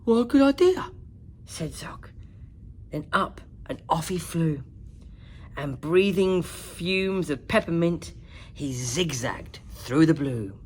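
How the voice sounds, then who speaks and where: A woman narrating a children's picture book in an expressive, dramatic, singsong way, like a principal at story time. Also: sits low, takes it slowly, slight background noise. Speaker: school principal reading to children.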